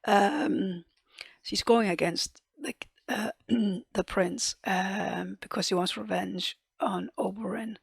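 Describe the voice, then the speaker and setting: A woman reviewing a TV episode in casual chat, losing her voice, often clearing her throat and hesitating with ums. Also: mid-range voice, dry recording. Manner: hesitant, many ums and restarts, soft half-whispered delivery. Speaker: TV reaction and review host.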